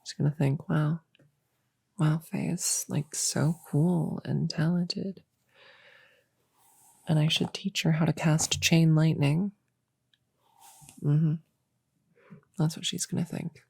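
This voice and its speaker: A woman playing an eager, slightly grumpy young novice witch in a soft-spoken ASMR roleplay, chatting casually and hesitantly to a friend in a breathy voice that often drops to a whisper. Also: sits low, fairly even intonation, takes it slowly, long pauses, no room sound, heavily acted. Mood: smug, determined. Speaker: audio roleplay performer. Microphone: close microphone in a dry space.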